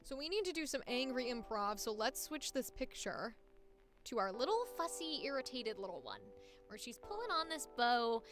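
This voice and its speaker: A young woman with a high-pitched voice, chatting casually about voice acting on a live stream and now and then trying out squeaky character voices. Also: very animated, moderate pace, music in the background. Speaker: voice actor streaming.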